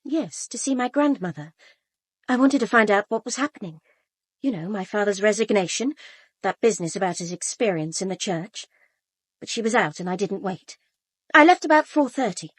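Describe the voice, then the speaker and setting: A woman's voice: mid-range voice, animated, moderate pace, husky, no room sound. An actress playing a brittle, bitter young upper-class British woman in a radio drama, with a clipped, caustic manner. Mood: guarded. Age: young adult.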